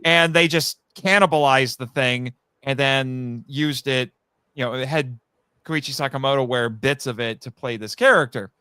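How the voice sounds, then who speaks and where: A man with a bright, clear voice in a dry, close recording. He explains fan material eagerly and casually, rambling through details as a podcast guest. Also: medium pitch, normal speed, dry recording. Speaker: podcast guest, audio drama writer. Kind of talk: animated casual fan discussion.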